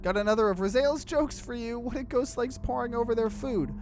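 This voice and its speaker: A man voicing a jokey, excitable young male friend in a visual novel, playful and exclaiming. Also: very high-pitched, a little fast, over music, pitched above the performer's own voice.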